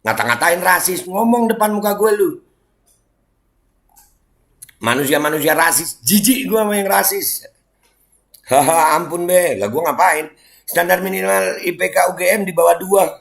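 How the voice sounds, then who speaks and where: A middle-aged man from Indonesia with a Jakarta Betawi accent, reacting to online comments in a mocking, heated, casual way, switching between low muttering and loud indignant outbursts. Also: moderate pace, creaky, pauses often. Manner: mocking, heated, laughs and sighs often. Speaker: commentator reacting to social media posts.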